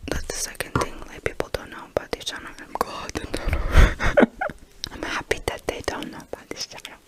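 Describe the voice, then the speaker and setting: A woman with a Croatian accent whispering ASMR, chatty and a bit hesitant, with light giggles. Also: moderate pace. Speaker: ASMR collab guest.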